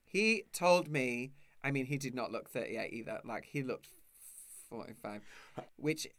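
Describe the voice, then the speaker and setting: A man's voice: medium pitch, lively intonation, measured pace, fairly bright tone. A man in his thirties hosting a camp, chatty video-call conversation and laughing often. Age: 30s. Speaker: host. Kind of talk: casual chat over video call.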